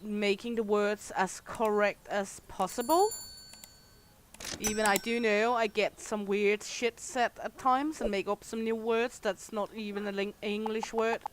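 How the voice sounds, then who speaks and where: A woman's voice: mid-range voice, fairly even intonation, measured pace. A middle-aged woman speaks English with a thick Danish accent, her voice in a plain middle register. She chats candidly with her livestream audience as she plays, answering them as she goes. A faint hiss sits under the recording. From Denmark. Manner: chatty, candid, reads and answers chat aloud. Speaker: streamer. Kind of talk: casual livestream chat while gaming.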